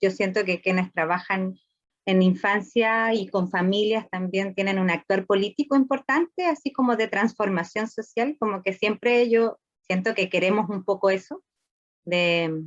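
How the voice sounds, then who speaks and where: Chilean psychologist, female, chatty with lots of fillers, muffled call audio. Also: mid-range voice. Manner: loose, conversational, full of fillers. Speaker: psychologist guest speaker. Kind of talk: informal talk on a video call.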